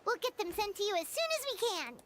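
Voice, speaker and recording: A woman voicing a tiny, childlike, perky fairy companion in a video game, squeaky and chirpy. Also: very animated, fast talker, very noisy. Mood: perky, curious. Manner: chirpy, exclamatory. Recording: loud music behind the voice.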